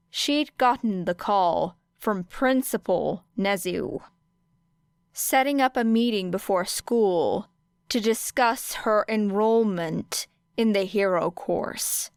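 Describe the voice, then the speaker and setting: Woman, fiction narrator, unhurried slow pace, clear smooth voice, measured phrasing, dry close recording. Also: medium pitch, dry recording. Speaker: audiobook narrator.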